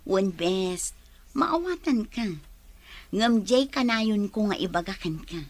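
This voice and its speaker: A woman plays a close best friend who teases gently. She talks slowly, and her pitch moves up and down freely. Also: animated. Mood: teasing.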